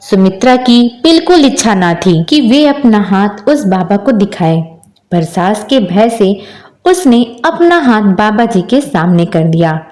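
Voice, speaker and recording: A woman's voice: fairly high pitch, a little mellow, reverberant. A woman storyteller reading a scripted moral tale as narration.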